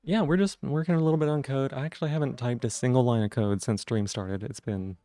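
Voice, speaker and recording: A man streaming live, chatting casually with viewers and greeting them by name, with a rough voice today. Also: fairly even intonation, fast talker, dark tone. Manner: friendly, greets chat members by name, jumps up in pitch on greetings.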